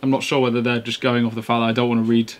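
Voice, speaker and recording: A man's voice: mid-range voice, flat delivery, rapid-fire. A young man giving casual, slangy, laid-back commentary as a music reaction host, speaking in a bedroom. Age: young adult. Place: small bedroom indoors.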